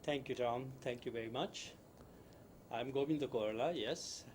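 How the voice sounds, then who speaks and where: A middle-aged man from Nepal with a Nepali accent, answering interview questions conversationally in a halting way and repeating phrases. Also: mid-range voice, slight background noise. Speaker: interview guest, university professor. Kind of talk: conversational interview, recounting own background.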